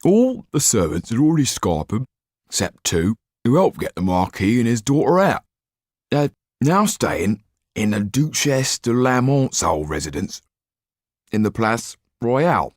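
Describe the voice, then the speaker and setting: A man's voice: on the low side, animated, a little slow, darkish tone, dry recording, clean studio sound, pitched above the performer's own voice. A man voicing a greedy, grumbling, colloquial informant in a historical audiobook. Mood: gossiping. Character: big-headed, greedy, grumbling young man, a lowly informant.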